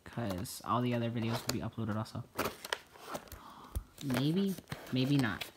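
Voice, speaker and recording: A man's voice: mid-range voice, expressive, unhurried, noisy background. Stuffy-nosed young man rambling through an unboxing. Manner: chatty, rambling, many fillers. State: stuffy nose. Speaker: toy unboxing vlogger. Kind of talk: casual unboxing commentary. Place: indoors at a table in a home room.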